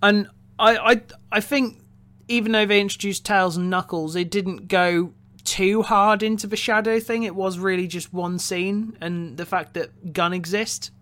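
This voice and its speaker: A man with a bright, keen-edged voice chats as co-host of a casual review podcast. He thinks aloud, often restarting and repeating words before finishing a point. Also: high voice, steady tone, measured pace. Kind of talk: casual film review discussion.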